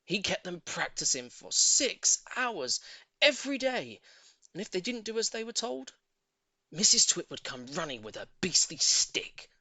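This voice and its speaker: Male storyteller, lively swooping pitch, theatrical, emphatic, dry close sound, children's audiobook. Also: unhurried, dry recording. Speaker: audiobook narrator. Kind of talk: animated scripted children's story narration.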